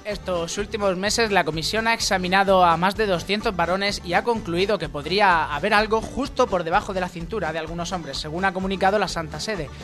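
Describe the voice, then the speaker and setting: A man's voice: high voice, brisk, few pauses, a bit bright, faint music behind. A man co-hosting a radio comedy show, mixing mock news reports with casual banter.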